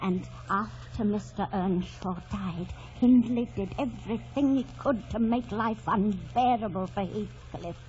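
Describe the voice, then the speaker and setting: An actress playing a soft-hearted, fretful older housekeeper in an old radio drama. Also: female voice, mid-range voice, a little slow, few pauses, close to an everyday voice. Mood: worried, wistful. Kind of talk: 1940s radio acting. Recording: muffled old radio broadcast, faint noise.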